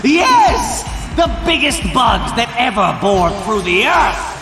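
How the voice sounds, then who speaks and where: High, booming theatrical arena master. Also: male voice, big pitch swings, takes it slowly, vocal fry, full character voice. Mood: theatrical menace. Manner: bombastic. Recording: echoing hall reverb over music.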